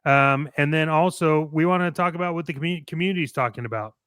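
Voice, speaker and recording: A man's voice: on the high side, fast, fairly bright tone, clear, resonant tone, no echo, clean studio sound. A man co-hosting a toy collecting show, playful and enthusiastic, moving between ad reads and casual banter.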